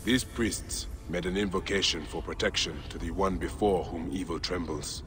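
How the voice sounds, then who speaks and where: An actor playing a grieving, brooding ancient Egyptian warrior in a video game, speaking in a solemn, weary, measured way. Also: male voice, sits low, over music, natural voice. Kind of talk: dramatic video game acting.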